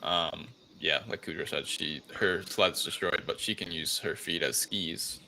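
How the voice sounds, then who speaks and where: A man's voice: low-pitched, measured pace, gravelly, pushed and bright, slight background noise, rough recording. A man co-hosting a podcast, recapping a movie in casual chat. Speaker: podcast co-host.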